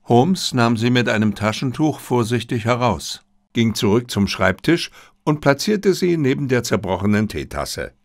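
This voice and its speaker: A man narrating a first-person story as an audiobook reader, in a measured, expressive storytelling manner. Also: medium pitch, dry recording.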